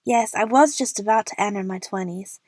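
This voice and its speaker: A woman's voice: medium pitch, brisk, a little mellow, dry recording, clean studio sound, natural voice. A young woman playing an eager, chatty movie fan who narrates her adventure in the first person for an audio drama. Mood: excited.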